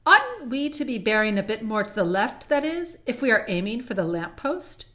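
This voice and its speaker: A woman reader acts out a sly younger schoolboy from a storybook. He is peevish and spiteful, in old-fashioned schoolboy talk pitched above the narration. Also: big pitch swings, normal speed, sharp tone. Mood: casual.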